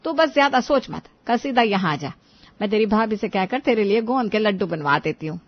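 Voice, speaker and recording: A woman's voice: medium pitch, lively intonation, a little fast, dull audio, lightly acted. A woman voicing a bossy, doting middle-aged grandmother with a commanding, exclamatory manner in a family story. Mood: reassuring. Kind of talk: Hindi family moral story dramatization.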